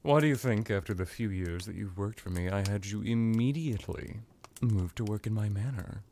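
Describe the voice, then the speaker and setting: A man playing a suave, menacing vampire lord in an ASMR roleplay, musing languidly with drawn-out words and condescending affection. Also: fairly low pitch, warm tone, slight background noise, natural voice. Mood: smug, fond. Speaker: character roleplay performer. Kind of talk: ASMR villain roleplay monologue.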